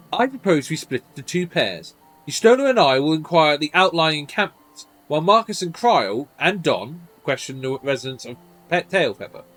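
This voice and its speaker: Man playing earnest young gentleman for fantasy game, formal, slightly pompous, higher pitched, animated. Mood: composed, proper. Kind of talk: reading game dialogue in character.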